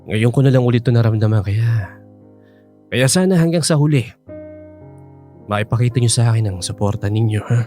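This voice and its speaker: A man acting in a radio drama as an earnest, tender older father in love, speaking haltingly. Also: medium pitch, animated, music in the background, lightly acted. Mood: earnest, pleading.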